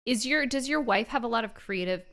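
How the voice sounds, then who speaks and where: Giggly woman interviewer, animated pitch. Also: a little fast. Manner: playful, giggly, agreeable banter. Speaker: interviewer, video host. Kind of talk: casual candid interview chat.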